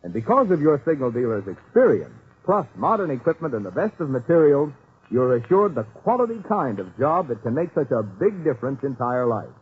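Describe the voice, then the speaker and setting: A man's voice: mid-range voice, very animated, fairly quick, slightly rough. A man working as a 1940s radio announcer, reading commercials and credits with polished, emphatic salesmanship. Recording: tinny vintage radio, faint music.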